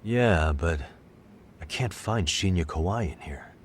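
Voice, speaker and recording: An actor playing a calm, sharp detective in an English game dub, measured and thoughtful as he reasons through a case. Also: low voice, a little hiss or hum. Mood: focused. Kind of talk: English video game dub cutscene acting.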